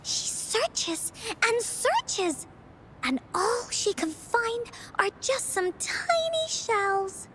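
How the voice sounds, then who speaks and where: Little game girl, super high voice, tells stories all slow and dramatic, encouraging. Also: big pitch swings, a bit bright, slight background noise, exaggerated voice, rough recording. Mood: storytelling, spirited. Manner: theatrical, deliberate storytelling with dramatic pauses. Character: spirited little girl storyteller, imaginative, encouraging adventurer. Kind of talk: video game voice acting, dramatic storytelling.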